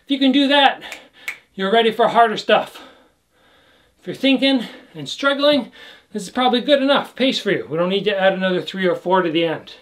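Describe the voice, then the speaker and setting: A man's voice: high voice, fairly quick. A young man teaching a kickboxing workout, calling out combinations while he demonstrates the moves in a small indoor room. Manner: calls out combos while demonstrating, energetic. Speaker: kickboxing instructor. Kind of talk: workout instruction. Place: indoor room with trophy shelves.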